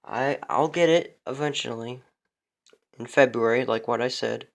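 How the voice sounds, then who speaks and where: A man's voice: mid-range voice, a little slow, creaky. A man talks casually about his radios in a home room, recorded on a phone. He rambles, fills gaps with ums, and restarts sentences as he corrects himself. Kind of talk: casual show-and-tell vlog. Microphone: phone camera.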